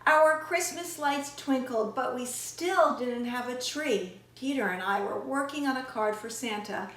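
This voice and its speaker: A middle-aged woman reading a children's story aloud expressively, with deliberate, child-directed questions to listeners. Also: high-pitched, a bit bright. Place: small indoor room with shelves. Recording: roomy indoor echo.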